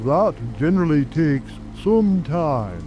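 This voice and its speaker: A man's voice: fairly high pitch, very animated, slow, muffled recording. A man playing a brusque, impatient mythical beast in a dramatic audiobook, speaking in a decided, exclamatory way. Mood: excited, shouting. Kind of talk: full-cast dramatic audiobook reading. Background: rain ambience and music.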